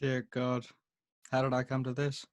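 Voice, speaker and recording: A man's voice: mid-range voice, monotone, normal speed, lightly acted. A man playing an irritable, theatrical classical actor who despairs of his role, melodramatic and self-pitying, in a comedy table read. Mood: mournful. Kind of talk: amateur table read of a film comedy script.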